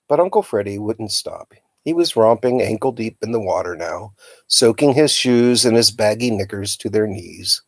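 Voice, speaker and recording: A man reading a children's novel aloud as a storyteller, with a dramatic, expressive delivery. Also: on the low side, normal speed, no room sound. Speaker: storytime reader.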